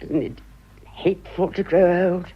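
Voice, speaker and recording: A woman's voice: on the low side, steady tone, a little slow, breathy edge, dull audio. An actress playing a vain, sentimental middle-aged society lady in a British radio drama, with a British accent and a gushing, aristocratic manner. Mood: anxious vanity.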